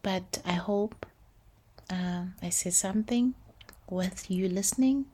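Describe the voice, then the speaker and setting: A woman speaks in a hushed, gentle voice with a breathy, slightly husky grain. She hosts a reflective faith podcast, talking slowly and intimately as she moves between English and Sesotho phrases. Her pitch sits in a middle range for a woman. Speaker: podcast host.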